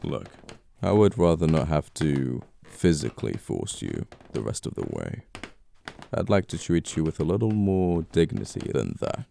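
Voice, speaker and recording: A very low, gravelly male voice, an actor's, plays a gentleman killer in an audio roleplay. He is amoral yet apologetic and flirty, menacing his captive with unhurried, wry courtesy. The sound is dark and dry, close on the mic. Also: sing-song, a little hiss or hum, full character voice. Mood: regretful, flirty.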